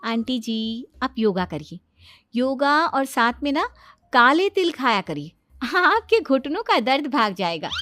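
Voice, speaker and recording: A woman's voice: sits high, big pitch swings, measured pace, a bit bright, a little hiss or hum, exaggerated voice. A woman voicing a boastful young daughter-in-law in a cartoon, eager, insistent and self-assured. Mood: eager, confident. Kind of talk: exaggerated cartoon family-drama acting.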